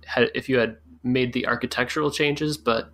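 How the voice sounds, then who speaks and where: A man's voice: mid-range voice, fairly even intonation, normal speed, fairly bright tone. A man hosting a podcast interview, asking questions in a conversational style.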